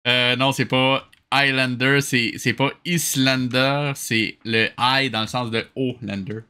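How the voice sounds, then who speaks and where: A man's voice: medium pitch, level tone, takes it slowly, bright, forceful tone. A man with a Quebec French accent hosting a casual, sarcastic, joking reaction commentary. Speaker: reaction show host. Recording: clearly noisy background.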